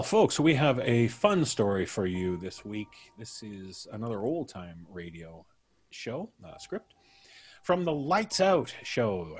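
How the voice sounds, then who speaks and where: Man, low pitch, animated intonation, live show host, casual chat, theatrical storyteller delivery. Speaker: podcast host.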